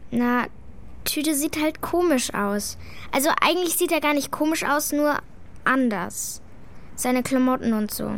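A woman's voice: high voice, measured pace. A female performer playing an earnest, frank schoolgirl in a children's radio play, speaking in a matter-of-fact, childlike way. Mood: frank, defensive.

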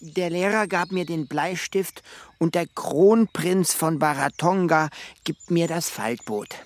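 A man's voice: a little high, slow pace. A man playing an impatient, grumpy cuckoo in a children's radio play. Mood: explaining. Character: impatient, grumpy male cuckoo bent on going to sea.

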